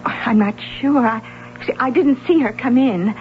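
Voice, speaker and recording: Polite high-voiced American librarian played by an actress. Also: female voice, moderate pace, close to an everyday voice. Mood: polite, guarded. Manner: polite, hesitant, careful. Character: polite, guarded female librarian. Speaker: actor. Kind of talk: old-time radio drama acting. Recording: dull, noisy old radio recording with hum.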